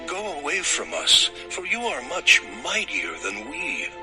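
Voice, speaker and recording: An imperious ancient king declaims with a very lively, swooping pitch. The male voice is emphatic and theatrical in the style of audio scripture drama, with music behind it. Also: measured pace. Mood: commanding. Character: Abimelech, an imperious, indignant Philistine king, male.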